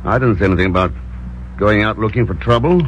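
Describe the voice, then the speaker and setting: An actor playing a calm, wry secret agent with a dry, understated delivery, in a 1950s radio drama. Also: male voice, fairly low pitch, fast, slight background noise, close to an everyday voice. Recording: dull lo-fi old radio recording.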